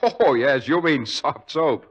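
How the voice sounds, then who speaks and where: A man's voice: mid-range voice, expressive, slow, highs cut off. A man with an American accent announcing and hosting in 1940s radio style, with a polished, salesmanlike manner.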